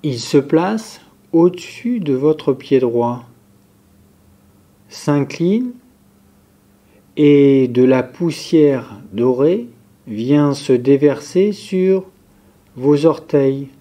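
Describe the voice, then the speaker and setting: A man guiding a yoga nidra relaxation session, giving calm, measured, step-by-step instructions. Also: fairly high pitch. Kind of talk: guided relaxation meditation, instructions spoken slowly to a group.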